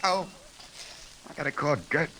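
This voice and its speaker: An actor playing a frantic, exasperated working-class husband in a 1950s radio drama, stammering and slangy. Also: male voice, on the high side, unhurried, close to an everyday voice. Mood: flustered, panicky. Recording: very noisy old radio recording.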